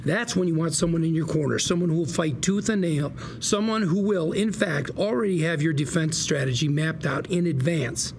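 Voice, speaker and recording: Noisy room recording of a slippery, eager lawyer with a gravelly, fairly high voice. He pitches like a salesman, stumbling briefly before pressing on, in the plain style of a TV drama read-through. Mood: persuasive. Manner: fast-talking salesman patter with hesitations. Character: glib, hard-pitching male small-time lawyer, eager and slippery. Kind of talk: acted dialogue at a table read. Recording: noticeable background room noise.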